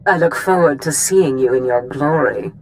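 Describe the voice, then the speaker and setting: A woman's voice: sits low, moderate pace, darkish tone, a little hiss or hum, poor audio. A woman playing a haughty Sith master in a video game, speaking formally and with condescension to those beneath her. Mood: smooth, commanding.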